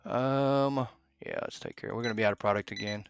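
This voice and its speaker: A man's voice: on the low side, fairly quick, vocal fry, muffled recording, slight background noise. A man giving live gameplay commentary as a streamer, thinking aloud and muttering to himself as he plays. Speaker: game streamer.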